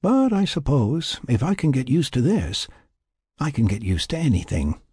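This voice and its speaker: A man voicing a reflective, formal scientist keeping an expedition journal in an audiobook, in measured, wordy phrases. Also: medium pitch, very animated, dark tone, slightly rough, no echo, clean studio sound, pitched above the performer's own voice. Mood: wistful, resolved. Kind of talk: first-person audiobook narration.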